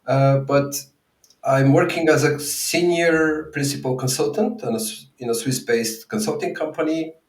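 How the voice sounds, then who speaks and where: A man's voice: a little high, normal speed. A man from Bulgaria co-hosting a podcast, chatting casually about tech with lots of fillers and restarts. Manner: hesitant, many fillers and restarts. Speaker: podcast co-host. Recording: roomy, distant from the mic.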